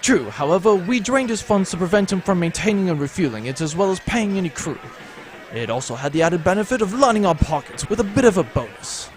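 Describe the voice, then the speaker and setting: An actor playing a cocky, flippant space pirate captain in an adventure audio drama, talking in a breezy, wry way. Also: male voice, sits high, expressive, a little fast. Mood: smug, flippant.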